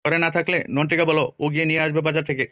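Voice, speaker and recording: Man acting a high, rapid-fire grumpy cartoon father. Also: flat delivery, sharp tone, no room sound, studio quality. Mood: exasperated. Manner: coarse, exasperated, swearing. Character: grumpy, foul-mouthed village father and shopkeeper men. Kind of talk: comic cartoon dialogue.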